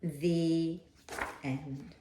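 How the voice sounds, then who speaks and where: A woman's voice: low-pitched, steady tone, drawn-out words, echoey room, a little hiss or hum. A woman hosting a children's read-aloud, speaking in simple, direct phrases as if to young listeners. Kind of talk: expressive storybook read-aloud and talk addressed to children.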